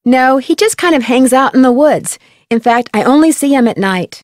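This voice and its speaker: This is a young woman detective from a mystery video game. Her voice sits a little high and is captured close, without room sound. She lays out facts in a composed, measured manner. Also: expressive, dry recording. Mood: matter-of-fact. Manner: measured, explanatory. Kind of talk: scripted video game voice acting.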